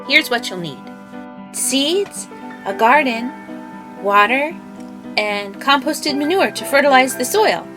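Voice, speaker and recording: A woman's voice: high voice, animated, takes it slowly, long pauses, over music. A woman narrating a children's puppet show, explaining things step by step.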